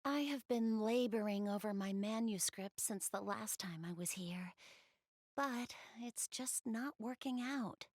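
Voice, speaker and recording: A woman's voice: a little high, unhurried, a little mellow, no echo, studio quality. A woman voicing a shy, bookish young goddess in a video game, speaking hesitantly and earnestly. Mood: dejected, pensive. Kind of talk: video game character voice acting, intimate one-to-one dialogue.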